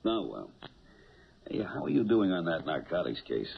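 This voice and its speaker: An actor playing a shrewd, steady police lieutenant in a 1970s radio drama. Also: male voice, low-pitched, normal speed, creaky, dull audio, exaggerated voice. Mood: weary, chatty. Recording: noisy, thin old radio broadcast.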